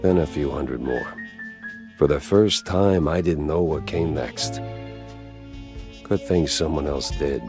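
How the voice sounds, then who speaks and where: Super low, slow noir mouse detective, jaded and dry, loud music behind. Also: male voice. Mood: weary, brooding. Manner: deadpan, sardonic, slow drawl. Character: world-weary hard-boiled male mouse private detective, war veteran. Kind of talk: noir game narration and dialogue.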